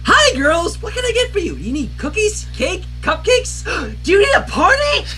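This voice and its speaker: A man's voice: very high-pitched, huge pitch swings, moderate pace, bright, forceful tone, a little hiss or hum, pitched above the performer's own voice, full character voice. A man voicing a bubbly, eager young female pony baker who is hyperactively cheerful, in a cartoon fan story. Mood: excited, eager.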